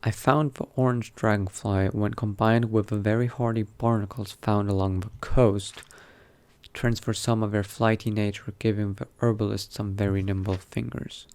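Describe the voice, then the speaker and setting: A man doing an in-character game roleplay, reading aloud in a measured, bookish way and muttering asides to himself. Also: on the low side, steady tone, a little mellow. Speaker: roleplay gamer narrating in character.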